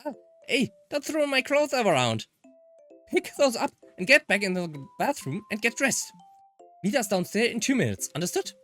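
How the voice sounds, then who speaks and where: A man playing a distraught young visual novel protagonist, hot-headed and exclamatory. The delivery is high and swings widely in pitch, from a native German speaker, with faint music underneath. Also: big pitch swings, normal speed, fairly bright tone. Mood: scolding, flustered. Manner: emotional, exclamatory. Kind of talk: dramatic visual novel read-aloud.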